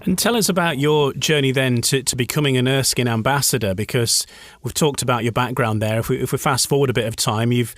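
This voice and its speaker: A man's voice: medium pitch, fairly quick, no room sound. A Scottish man hosting a radio interview, introducing and questioning his guest in a friendly, slightly hesitant way with repeated words. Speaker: radio host.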